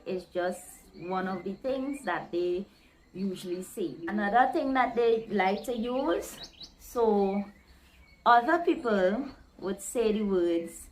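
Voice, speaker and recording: A woman with a Guyanese accent, tinged with Trinidadian, talks straight to her viewers in a relaxed vlog. Her voice sits at an ordinary middle pitch. She is chatty and expressive, voicing the local phrases she explains. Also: slight background noise, poor audio. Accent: Guyanese, with Trinidadian creeping in. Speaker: vlogger explaining slang.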